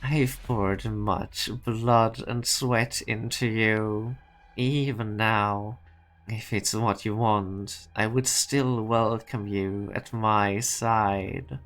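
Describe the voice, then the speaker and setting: Man doing manipulative boss, calm and coaxing, slow deliberate delivery, fan dub acting. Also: lowish voice. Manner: measured, coaxing.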